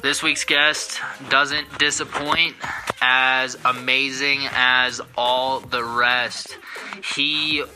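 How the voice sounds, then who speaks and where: A man's voice: medium pitch, little pitch movement, extremely slow, over music, rough recording. A man hosting a podcast, giving an upbeat, enthusiastic welcome and introducing his guest. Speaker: podcast host.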